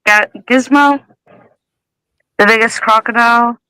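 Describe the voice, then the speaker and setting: A woman's voice: fairly high pitch, fairly even intonation, unhurried, sharp tone, low-quality recording. A woman in casual conversation, speaking informally and swearing freely. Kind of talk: casual chat.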